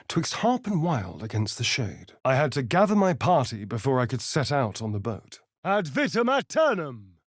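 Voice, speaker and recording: A vain, sly vampire spawn for a video game. His delivery is slow and swoops widely in pitch, every phrase arch and sardonic. The sound is close and dry, giving his theatrical drawl full play. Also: no echo. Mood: hushed, dramatic, then commanding incantation. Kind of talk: video game character voice lines.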